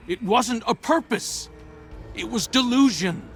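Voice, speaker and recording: Guilt-ridden warrior mentor, raised voice, pleading, measured pace, dramatic game acting, faint music behind. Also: male voice, extremely high pitch, animated, heavily acted. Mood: desperate.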